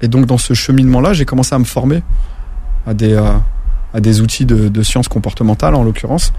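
A man from France talks as a guest in a loose, rambling way, often restarting his sentences and dropping slang. His voice is dark and mellow, sitting at an ordinary pitch. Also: quick pace. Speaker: guest, former taxi driver. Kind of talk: casual interview talk.

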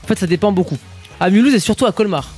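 High-voiced chatty man on radio. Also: very fast, darkish tone, slightly rough, soft background music. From Alsace, France. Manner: chatty, explains figures with asides. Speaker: radio show co-host. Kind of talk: casual morning radio chat.

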